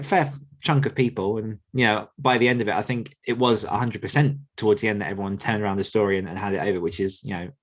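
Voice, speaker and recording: Guy hosting a podcast group chat, lowish voice, casual talk. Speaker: podcast host.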